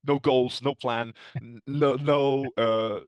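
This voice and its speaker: A man's voice: medium pitch, steady tone, takes it slowly, smooth, no room sound, highs cut off. A man, a guest in a casual interview, speaking candidly and reflectively with easy laughs. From Poland. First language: Polish. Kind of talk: casual conversation.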